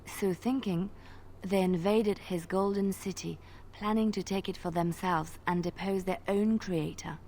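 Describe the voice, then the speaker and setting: An actress playing a young woman bard in a game, telling tales in a gentle storytelling way. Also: on the low side, fairly even intonation, a little slow, slight background noise, poor audio. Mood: solemn. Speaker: minstrel and bard.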